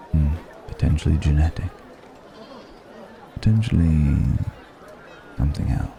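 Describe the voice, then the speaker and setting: A man's voice: extremely low pitch, gravelly, warm tone, exaggerated voice. A man playing a wry, curious ancient dragon passing as a stranger, musing in formal, archaic phrasing in an ASMR roleplay. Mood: grave, guarded. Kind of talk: ASMR roleplay audio drama.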